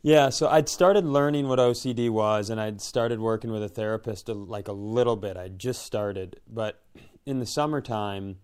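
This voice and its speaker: A man's voice: lowish voice, moderate pace, darkish tone, clean tone. A man telling a casual, comedic personal story in a self-deprecating way, slipping into imagined dialogue. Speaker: podcast host and comedian.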